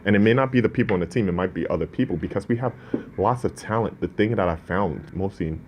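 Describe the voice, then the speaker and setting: A man's voice: low voice, fast, slight background noise. A man born in Ghana, speaking as a business owner in an interview, earnest and prone to restarts. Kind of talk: casual interview talk.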